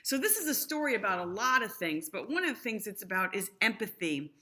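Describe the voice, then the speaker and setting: A woman's voice: medium pitch, sing-song, brisk, sharp tone. A woman reading a picture book aloud to young children, expressively, with explanatory asides. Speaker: storybook reader.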